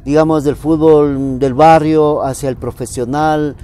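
Middle-aged male sports interviewer, mellow. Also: fairly high pitch, fairly even intonation, measured pace, clean tone. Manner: prompting, gives short backchannel replies. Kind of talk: interview questions. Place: outdoor artificial-turf football pitch.

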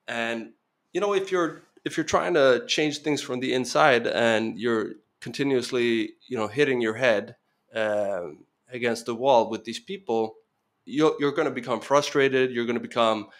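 A man's voice: mid-range voice, unhurried, a bit bright. A man in his thirties, a podcast guest, speaking earnestly in casual interview talk with frequent restarts and a slight Venezuelan Spanish tinge.

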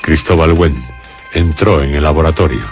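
Narrador de voz gravísima. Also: male voice, extremely low pitch, steady tone, moderate pace, darkish tone. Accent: Castilian Spanish. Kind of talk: scripted radio drama narration. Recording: music under the voice.

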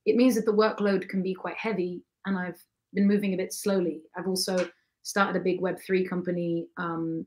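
A hoarse woman in her thirties, a singer-songwriter guest, talking quickly and rambling with many ums from a home studio on a video call. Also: fairly low pitch, darkish tone. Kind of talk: casual chat on a livestream. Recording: acoustic guitar behind the voice.

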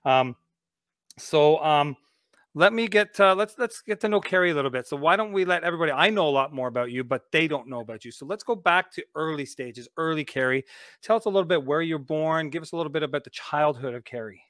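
A man's voice: a little high, fast talker, no room sound, studio quality. Chatty man hosting a podcast. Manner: relaxed, chatty, many fillers. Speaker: podcast host. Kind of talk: casual video podcast interview. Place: home studio, indoors. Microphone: studio mic on a video call.

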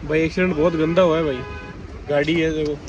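A man's voice: a little high, fairly even intonation, fast talker, muffled recording, music in the background. A young man, hosting a casual road-trip vlog from inside a car, chatty and teasing, filmed at arm's length. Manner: chatty, teasing, repeats phrases. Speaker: vlogger host. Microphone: camera at arm's length. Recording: loud road and engine noise.

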